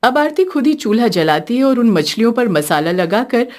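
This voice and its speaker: A woman's voice: a little high, expressive, moderate pace. A woman narrating a scripted story as a storyteller.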